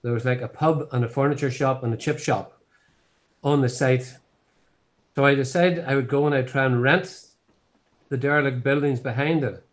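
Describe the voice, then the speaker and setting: A man's voice: mid-range voice, steady tone, normal speed, pauses often. Chatty older Northern Irish man. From Northern Ireland. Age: 70s. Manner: rambling storyteller with many fillers and restarts. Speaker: whiskey distillery founder. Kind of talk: online tasting conversation. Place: home office. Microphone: laptop webcam on video call.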